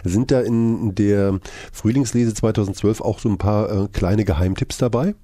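A man conducting a radio interview, asking quick, informal questions with frequent restarts. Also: lowish voice, mellow, dry recording.

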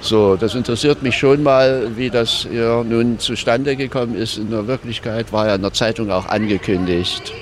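A man's voice: mid-range voice, normal speed, hardly any pauses. A man speaking spontaneously in a street interview as a protest participant. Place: outdoors on a city street. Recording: outdoor street noise, traffic.

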